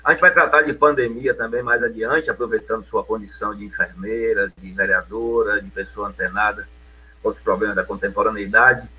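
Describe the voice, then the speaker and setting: A man's voice: on the low side, steady tone, a little fast, sharp tone, slight background noise, poor audio. A middle-aged man, hosting an interview and asking questions in a chatty way, often ending on a tag question. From Bahia, Brazil. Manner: conversational, leading questions with frequent "né". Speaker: interview host.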